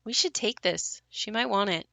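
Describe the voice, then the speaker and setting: A woman's voice: mid-range voice, moderate pace, gravelly, pushed and bright, dry recording. A woman acting a wistful, reflective grown daughter haunted by her ruined childhood home in an audio play. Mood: wry, casual.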